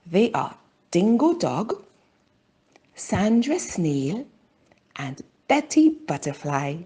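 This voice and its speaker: Slow, expressive woman reading a children's story. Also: lowish voice, breathy edge. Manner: deliberate, simple phrasing with pauses. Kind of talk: slow scripted story narration for language learners.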